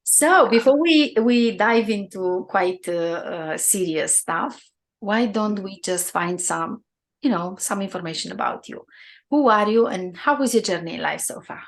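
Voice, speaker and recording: A woman hosting an interview podcast, asking slow, deliberate questions with some fillers. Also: medium pitch, animated. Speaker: podcast host.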